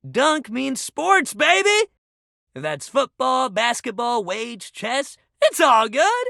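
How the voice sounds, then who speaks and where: A man's voice: extremely high pitch, huge pitch swings, slow pace, creaky, bright, forceful tone, no room sound, clean studio sound, exaggerated voice. An actor playing an upbeat, friendly but sensitive young male sports jock in a video game, talking in casual, emphatic bro speak. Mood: upbeat, encouraging. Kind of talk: video game character dialogue.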